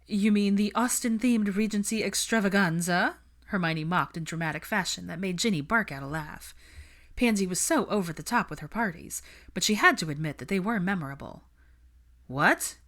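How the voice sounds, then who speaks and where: A woman narrates fiction as an audiobook reader. Her voice sits on the low side, and the delivery is scripted storytelling. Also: lively intonation, fairly quick, fairly bright tone.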